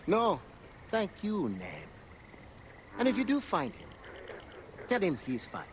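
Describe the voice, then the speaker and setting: An actor playing a playful, teasing villager in a video game with an exaggerated, singsong delivery. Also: male voice, high voice, wildly swooping pitch, takes it slowly, exaggerated voice. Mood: flirty, mocking. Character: playful, teasing male villager named Neb. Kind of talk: video game cutscene acting. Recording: noisy game ambience behind.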